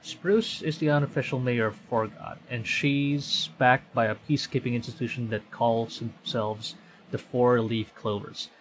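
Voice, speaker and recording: A man's voice: mid-range voice, steady tone, a little slow, a little hiss or hum, rough recording. A man reading a visual novel aloud on stream, conversational, often stumbling and correcting himself. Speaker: VTuber streamer.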